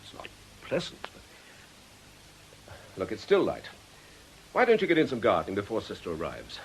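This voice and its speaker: Low-voiced, earnest priest in radio drama. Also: male voice, huge pitch swings, moderate pace, slight background noise, poor audio. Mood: reassuring, tactful. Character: earnest, reasonable male priest, concerned and tactful. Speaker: actor. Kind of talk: British radio drama acting.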